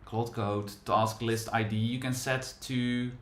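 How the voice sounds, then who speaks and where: A man's voice: medium pitch, level tone. Dutch-accented man thinking aloud, roomy sound. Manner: thinking aloud, halting, self-deprecating. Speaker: developer testing a tool on screen. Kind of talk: casual live screen-share chat.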